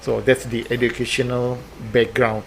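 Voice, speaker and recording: A man in his fifties with an Indonesian accent, a guest speaking English in a podcast interview, halting with many fillers and a friendly, joking manner. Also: mid-range voice, flat delivery, creaky, a bit bright, slight background noise, poor audio. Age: 50s. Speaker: guest, air force base commander.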